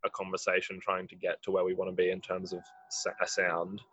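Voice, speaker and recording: A musician with a husky voice, answering interview questions in a rambling way, full of "like". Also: male voice, low-pitched, completely flat delivery, a little fast, fairly bright tone, lo-fi sound, a little hiss or hum. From Australia. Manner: rambling, heavy use of "like". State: husky from late rehearsal. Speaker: musician in a band.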